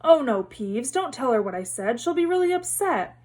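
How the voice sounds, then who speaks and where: Lady reader doing an anxious schoolgirl, storybook, forced cheer, smoothing things over. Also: sits high, very animated, moderate pace, a little mellow. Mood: frantic. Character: anxious schoolgirl, placating and falsely bright.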